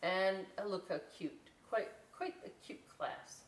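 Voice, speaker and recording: A woman's voice: mid-range voice, takes it slowly, reverberant. Playful woman reading at story time, distant mic. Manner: playful, informal, often checks in with "right?". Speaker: library story time host. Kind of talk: casual read-aloud with chatty asides for children. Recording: distant mic, faint background noise.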